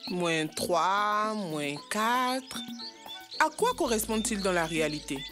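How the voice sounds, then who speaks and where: A woman voicing a naive, excitable monkey in a dubbed educational cartoon, puzzled and exclamatory. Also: medium pitch, slow. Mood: confused. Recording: music behind the voice.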